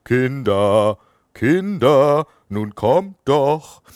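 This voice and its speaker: A man's voice: mid-range voice, animated, very slow, clean tone, no echo, clean studio sound. A man playing a calling earthworm papa. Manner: loud calling, drawn out. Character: earthworm father calling his children.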